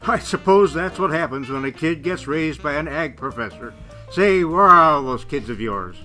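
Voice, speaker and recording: A man playing a genial, proud grandfather and company salesman in a radio play, with a folksy pitchman's manner. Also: expressive, moderate pace, bright, forceful tone. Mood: jovial. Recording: music behind the voice.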